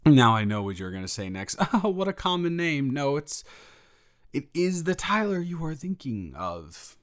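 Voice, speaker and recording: A man playing a sheepish, evasive young male roommate in an improvised audio roleplay, halting and rambling as he talks. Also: on the high side, very animated. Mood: nervous, hedging. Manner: halting, rambling, trailing off into restarts.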